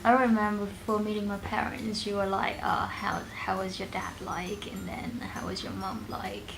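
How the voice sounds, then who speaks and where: A woman's voice: medium pitch, level tone, normal speed, creaky, noisy background. A young woman from Vietnam with a Vietnamese accent, chatting casually in a couple's Q&A video. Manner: chatty, acts out her own worried questions. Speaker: vlogger. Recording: background music under the talk.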